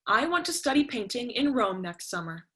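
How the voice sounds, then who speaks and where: Casting: actress as young student daughter, high-pitched and forceful, sharp-tongued, sarcastic, confrontational, for a dramatic play reading. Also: female voice, very animated, moderate pace. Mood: demanding.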